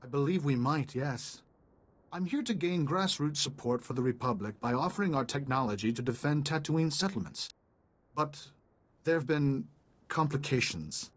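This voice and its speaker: A man's voice: fairly high pitch, animated, moderate pace. A man playing an eager, polite ambassador in a video game, chatty and apologetic in manner. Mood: courteous.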